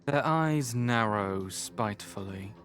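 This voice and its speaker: A man playing a grave, literary narrator of a gothic visual novel, telling it in a measured way. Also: on the low side, animated, fairly bright tone.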